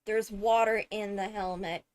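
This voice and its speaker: A woman giving casual, excited video commentary on a game trailer, chatty and thinking aloud with frequent interjections. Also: medium pitch, unhurried, very noisy. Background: loud music from the video under commentary.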